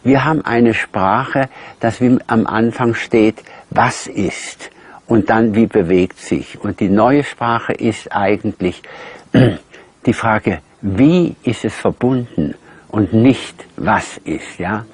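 A man's voice: lowish voice, slow pace, husky, dry recording. A man speaking off the cuff as an expert interviewee, emphatic and searching for his phrasing. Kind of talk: unscripted interview explanation.

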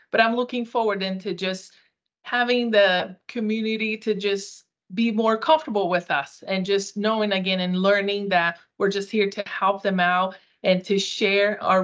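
A woman's voice: mid-range voice, a bit bright. Upbeat woman, Portuguese native speaker. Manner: earnest, upbeat, some restarts. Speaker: community outreach coordinator. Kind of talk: interview on a video call. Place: small room. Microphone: video call.

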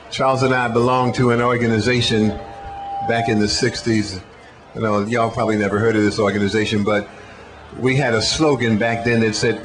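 A man in his sixties speaking deliberately and emphatically at an outdoor rally through a handheld microphone. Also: mid-range voice. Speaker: activist speaker. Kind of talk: rally speech. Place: outdoor city street stage.

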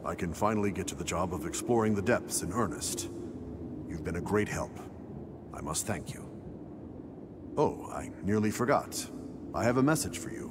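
An actor playing a dutiful, stern soldier in a video game, speaking in a formal, measured way. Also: male voice, low-pitched, lively intonation, noisy background. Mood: formal, earnest.

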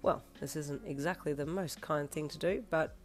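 A woman's voice: low-pitched, normal speed, darkish tone, faint music behind. A woman doing a strained-polite restaurant manager.

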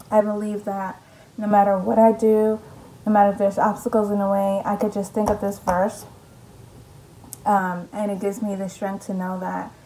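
A woman's voice: mid-range voice, vocal fry, warm tone, rough recording. A young woman talks straight into a close camera in her bedroom, chatty and upbeat. Her voice sits mid-range, easy and unforced, with frequent ums and restarts. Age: young adult. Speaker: mom vlogger. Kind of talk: casual vlog chat. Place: bedroom at home, indoors. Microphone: camera in front at close range.

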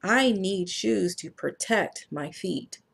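A woman voicing a young boy named Joe, reading a picture book in a slow, plain way. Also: medium pitch, animated, vocal fry, slightly rough, exaggerated voice. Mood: earnest.

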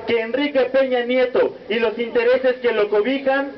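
A young man with a Mexican accent reading a solemn protest speech as a student speaker, in a measured, declamatory cadence. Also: very high voice, steady tone. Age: young adult. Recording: muffled lo-fi recording with faint noise.

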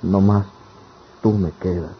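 A man's voice: low-pitched, fairly even intonation, slow pace. An actor playing a poor, lovelorn and anguished young country man in a radionovela, speaking plaintively in rustic speech. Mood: sorrowful. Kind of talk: radionovela acting. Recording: dull, noisy old radio drama recording.

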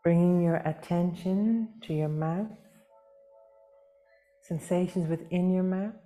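A woman leading a guided meditation as a yoga teacher, speaking gently and soothingly with long pauses, unhurried. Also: fairly low pitch, soft background music.

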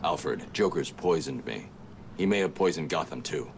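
An actor playing a grim, terse male masked vigilante in a video game cutscene, gruff and clipped. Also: extremely low pitch, animated, moderate pace, exaggerated voice. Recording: game cutscene with background noise.

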